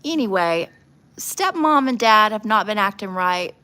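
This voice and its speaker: A woman's voice: mid-range voice, lively intonation, unhurried, bright, forceful tone. A woman hosting a casual livestream, talking informally and bluntly as she gives her opinions. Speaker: livestream host doing nail art. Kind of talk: casual livestream chat with true crime commentary.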